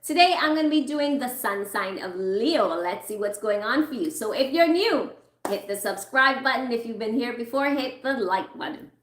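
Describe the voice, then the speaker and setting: Chatty woman reading tarot, slightly hoarse. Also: on the high side, expressive, moderate pace, echoey room. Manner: chatty, reassuring, thinks aloud while pulling cards. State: losing her voice, clearing throat. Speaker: tarot reader. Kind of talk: casual tarot reading to viewers.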